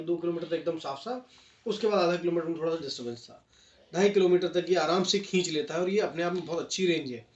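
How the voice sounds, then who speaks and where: A man's voice: a little high, darkish tone. A man with an Indian accent giving a casual, step-by-step product review, mixing English phrases in and addressing viewers as friends. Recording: dull lo-fi sound, faint noise, clicks.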